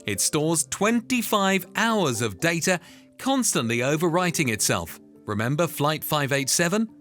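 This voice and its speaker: A man's voice: a little high, a bit bright. A man narrating a scripted explainer in an emphatic, dramatic way that builds suspense.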